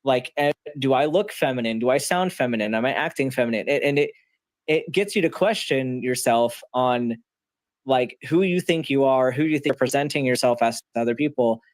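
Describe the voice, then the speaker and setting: A man's voice: medium pitch, fairly quick, no room sound. Guy on a live call, thoughtful, asks himself questions, mid voice. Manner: reflective, questioning. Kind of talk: casual chat.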